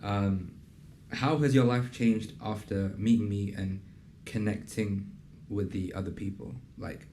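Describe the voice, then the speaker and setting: A young man hosting a casual interview and pitching his program in an earnest, conversational way, with plenty of fillers. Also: fairly even intonation, a little slow, dark tone, slight background noise. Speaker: host and program founder. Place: in a building in a city business district.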